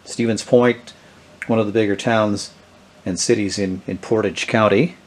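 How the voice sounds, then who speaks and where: A middle-aged man gives a casual, unscripted product demonstration, haltingly thinking aloud as he goes. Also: lowish voice, fairly even intonation, noisy background. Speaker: presenter of a vintage electronics channel. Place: indoors, small tabletop product-shooting setup.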